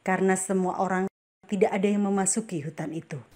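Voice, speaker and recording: An actress playing a mysterious forest spirit woman who speaks calmly and politely. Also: mid-range voice, quick pace, natural voice. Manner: calm, polite, quietly persuasive. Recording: background noise and ambience.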